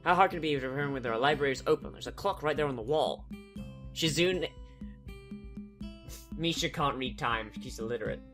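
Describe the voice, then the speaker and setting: Bright-voiced sarcastic man reading a game. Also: mid-range voice, fairly quick, long pauses, faint music behind. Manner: sarcastic, swears freely, breaks off to joke. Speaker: let's player. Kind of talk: reading a visual novel aloud with commentary.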